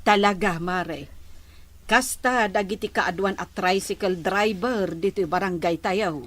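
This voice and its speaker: A woman's voice: medium pitch, measured pace, fairly bright tone. A woman with an Ilocano accent playing a concerned, chatty village neighbor in a comedy drama.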